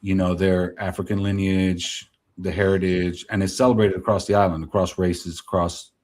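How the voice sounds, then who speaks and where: Low, mellow-voiced Gen X man. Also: little pitch movement, moderate pace. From New Jersey, US. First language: Spanish. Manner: rambling, filler-heavy, candid. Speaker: guest. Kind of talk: casual interview chat.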